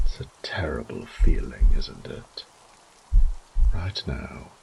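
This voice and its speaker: A man playing a sadistic, possessive vampire lord in a horror audio drama, taunting in a breathy murmur with mock tenderness. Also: darkish tone, slight background noise, heavily acted, poor audio. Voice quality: deep, gravelly, breathy low murmur. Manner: slow, condescending, mock-tender menace.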